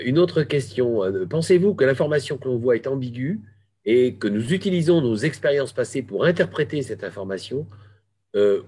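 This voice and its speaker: A man moderating a talk, asking questions haltingly with many fillers. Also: medium pitch, darkish tone, breathy edge.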